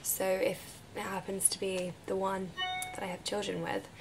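A woman in her twenties with a croaky voice from a recent illness, chatting casually as she answers viewer questions to camera in her bedroom. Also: mid-range voice, moderate pace, faint music behind, poor audio. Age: 20s. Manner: chatty, relaxed, drifts into breathy whispers. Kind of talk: casual Q&A vlog. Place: bedroom, indoors. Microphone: camera mic at close range.

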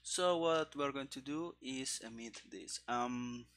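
A man in his thirties giving casual live coding commentary, haltingly thinking aloud into a headset mic. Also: mid-range voice, a bit bright, a little hiss or hum. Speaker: programmer live-streaming game development. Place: small room indoors at a desk. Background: keyboard typing and clicking.

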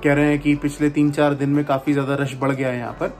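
A man in his thirties vlogging about a road trip, talking to a phone held at arm's length and pausing often with "uh". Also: on the high side, little pitch movement, a little mellow, faint music behind. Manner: chatty, with frequent "uh" pauses. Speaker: travel vlogger. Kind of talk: casual vlog narration to camera. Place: hotel room, indoors.

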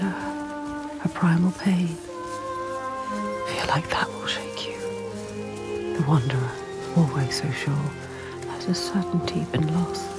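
A woman playing a solemn storyteller spirit in a video game, narrating in a hushed, breathy, measured and ominous poetic cadence. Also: sits low. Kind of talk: scripted dramatic game narration. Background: loud music.

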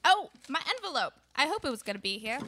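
A woman's voice: high-pitched, very animated, fast talker, pushed and bright, a little hiss or hum, full character voice. A woman playing a cheerful, peacekeeping aunt at a family dinner in an amateur radio play. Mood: excited. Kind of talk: amateur live radio drama acting. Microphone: microphone on stand.

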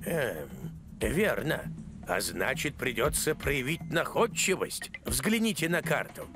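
Elderly scientist mentor for animation: deliberate, methodical, expressive melody, music bed. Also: male voice, mid-range voice. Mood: calm, analytical. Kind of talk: animated series dub acting. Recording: music behind the voice.

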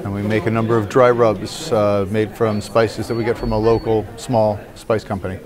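Middle-aged man, low pitch, very flat delivery, matter-of-fact vendor, loud crowd behind. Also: normal speed. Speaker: salsa vendor. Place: indoor market hall.

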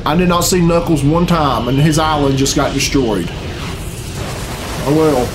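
A man's voice: on the high side, measured pace, low-quality recording. A man in his thirties giving casual, profane and sardonic reaction commentary in a small room. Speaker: reaction video host. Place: small bedroom, indoors. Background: video game cutscene music.

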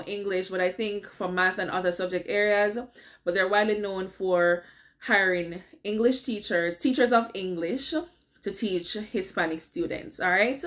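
A woman's voice: medium pitch, fairly bright tone. Chatty Jamaican woman talking to camera. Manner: chatty, keeps checking in with "all right?". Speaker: vlogger. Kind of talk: casual advice vlog. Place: home office with window blinds.

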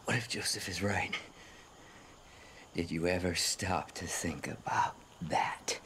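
An actor playing a sadistic, theatrical cult preacher in a video game, an American man with zealous, drawn-out phrasing. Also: low voice, background noise. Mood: weary, bitter conviction. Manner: preacherly, drawn-out phrasing with sudden whispers and shouts. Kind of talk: video game cutscene acting.

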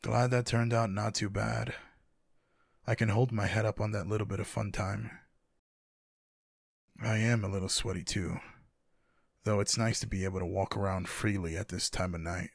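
A man playing a tender, teasing, sleepy lover in an intimate boyfriend ASMR roleplay, speaking softly and affectionately in a husky, gravelly voice. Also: fairly low pitch, little pitch movement, no room sound, clean studio sound. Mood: relaxed. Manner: soft, affectionate, unhurried. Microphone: close microphone, dry.

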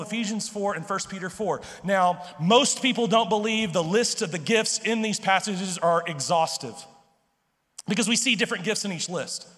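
A man in his thirties preaches from a large church stage, holding a handheld mic and talking in an emphatic, conversational way, often tossing in quick checks with the crowd. His voice sits high for a man. A long reverb from the big hall trails behind his words. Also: bright, forceful tone. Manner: emphatic, conversational, repeats words, frequent "okay?" and "right?". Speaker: pastor. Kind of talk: sermon.

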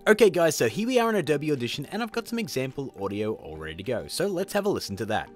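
A man's voice: on the high side, sing-song, quick pace, soft background music. A man in his thirties presenting a tutorial in a chummy, informal, upbeat way, talking to viewers as guys. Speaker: tutorial host.

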